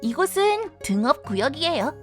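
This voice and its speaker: A woman acting in an audio drama as an excitable, kindly library director who guides a newcomer politely and with bubbly delight. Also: high-pitched, moderate pace, over music. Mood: bright, welcoming. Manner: bubbly, polite, gushing over small things.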